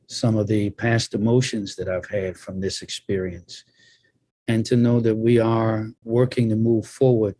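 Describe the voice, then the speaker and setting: An older man, a bishop on a panel, offering measured, solemn, reflective remarks. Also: on the low side, mellow, dry recording.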